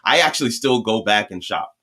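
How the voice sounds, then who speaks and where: Animated man telling a story, bright. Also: fairly low pitch, a little fast, husky. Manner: animated storyteller, hesitant restarts. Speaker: guest. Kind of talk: casual interview chat.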